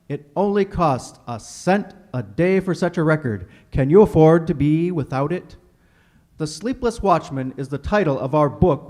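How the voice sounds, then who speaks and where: A man playing a courteous radio announcer and sponsor pitchman in polished, formal 1940s style. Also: dark tone, natural voice. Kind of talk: recreated 1940s radio announcing, scripted narration.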